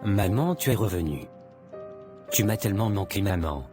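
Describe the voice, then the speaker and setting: An actor playing a worried teenage son in an animated drama, speaking earnestly and apologetically. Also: male voice, on the low side, moderate pace, over music, lightly acted.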